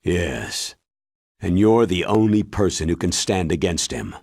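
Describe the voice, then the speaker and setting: A stern game colonel giving a briefing, deep and husky, deliberate, swings his pitch for emphasis. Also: expressive, a little mellow, no echo, exaggerated voice. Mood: solemn, informative. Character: grave, authoritative older male military commander giving briefings. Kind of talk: video game cutscene acting.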